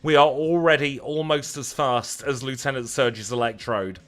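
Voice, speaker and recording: A man with a Lancashire accent talks in a mid-pitched voice over faint music. He is chatty and wry, commenting casually on his game like a streamer talking to viewers, often at his own expense. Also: fairly even intonation, moderate pace, fairly bright tone. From Lancashire, northern England. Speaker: live streamer playing a video game. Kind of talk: casual livestream chat over gameplay.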